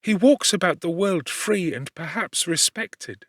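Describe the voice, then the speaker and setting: A male narrator voicing a gentle, grieving young woman in an audiobook, pleading and earnest. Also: high voice, animated, measured pace, no room sound, voice pushed up, full character voice. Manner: pleading, earnest, softly lifted voice. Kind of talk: scripted audiobook reading. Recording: dry close studio.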